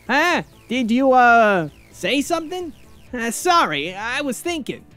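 A woman's voice: a little high, very animated, slow pace, fairly bright tone, faint music behind. A woman hosting a gaming channel, improvising comic dub lines in a hesitant, mock-earnest manner. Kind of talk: improvised comic dubbing and casual chat.

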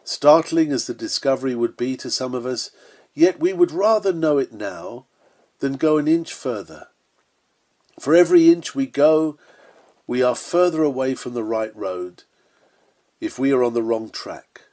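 A man's voice: medium pitch, lively intonation, measured pace, darkish tone. A man reading an old-fashioned sermon aloud in an earnest, solemn and emphatic preaching manner. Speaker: sermon reader.

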